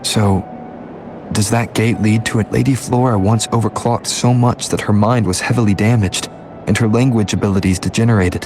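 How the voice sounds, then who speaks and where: Game lead: male actor as calm young wanderer, lowish voice, thoughtful inner monologue, music underneath. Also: moderate pace, close to an everyday voice. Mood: calm, pensive. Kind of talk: English video game voice acting, inner monologue.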